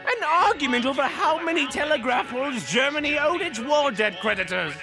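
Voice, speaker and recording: An actor playing a manic, theatrical supervillain clown in a comic dub, unhinged and swinging between shouts and mutters. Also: male voice, extremely high pitch, lively intonation, brisk, music in the background. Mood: mocking outrage. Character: manic, theatrical middle-aged male supervillain clown madman.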